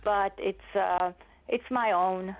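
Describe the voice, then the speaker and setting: An older woman with an Israeli accent answers as an interview guest. She talks conversationally in a mid-pitched voice. The sound is narrow and tinny, as over a telephone. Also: steady tone, slow. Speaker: guest novelist. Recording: tinny phone line.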